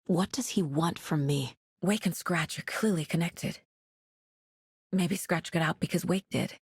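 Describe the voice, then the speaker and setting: Casting: determined female federal investigator for a dramatic game, very husky, low register, close dry recording. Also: a little slow, no echo. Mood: focused, pensive. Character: focused, determined female FBI investigator, troubled but dryly wry. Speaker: video game voice actress.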